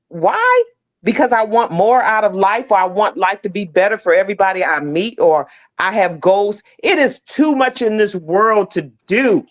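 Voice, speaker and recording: A middle-aged American woman speaking as an interview guest on a video call, heartfelt and emphatic, often repeating phrases. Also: mid-range voice. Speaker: podcast guest, stage play cast member. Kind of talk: casual, heartfelt conversation.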